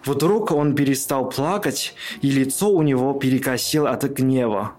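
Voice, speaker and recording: A man's voice: medium pitch, moderate pace, a little mellow, soft background music. A man who is a native Chinese speaker, reading scripted narration for a radio play.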